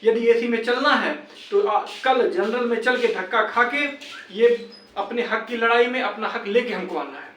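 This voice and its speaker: Young male campaigner, high voice, urging peers insistently with a reproachful edge; recorded echoey and off-mic. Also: normal speed, fairly bright tone, a little hiss or hum. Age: young adult. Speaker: exam candidate and campaign organiser. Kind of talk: motivational appeal to fellow candidates. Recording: echoey room, distant microphone.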